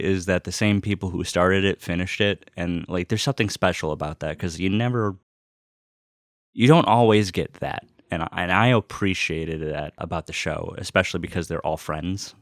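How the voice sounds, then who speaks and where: A man's voice: sits low, no echo, studio quality. A man hosting a personal vlog in casual, unscripted talk, rambling and often cutting himself off. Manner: rambling, self-interrupting. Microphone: close personal microphone.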